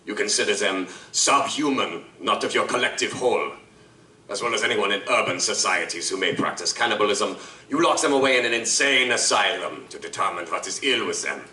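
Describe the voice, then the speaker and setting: A man's voice: lowish voice, animated, fairly quick, pushed and bright. An actor playing a suave, courtly male vampire liaison who speaks in formal, elaborate phrases, recorded live on stage. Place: live stage performance at a convention. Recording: roomy live stage from a distance.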